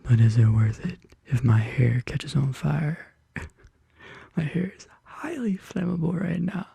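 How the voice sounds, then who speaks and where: An ASMR host whispering softly and casually in a gentle, reassuring manner, close to the microphone. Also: mid-range voice, slow, no echo. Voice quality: breathy whisper. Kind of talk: soft-spoken whispered ASMR. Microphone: close studio microphone.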